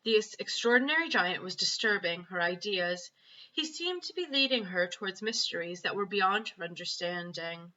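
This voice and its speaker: A woman reading a children's story aloud as a primary teacher, in an animated, emphatic way. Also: mid-range voice, moderate pace, highs cut off.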